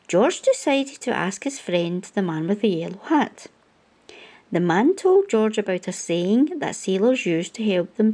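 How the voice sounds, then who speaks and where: A woman reading a children's picture book aloud as a storytime host, with expressive storyteller phrasing. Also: medium pitch.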